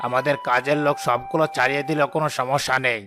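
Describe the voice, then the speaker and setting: A scheming village headman from a cartoon, acted by a man, with loud music behind him. He is a commanding, calculating father with a mid-pitched voice, used to getting his way. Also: steady tone, fast talker, natural voice. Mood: bossy. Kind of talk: cartoon voice acting.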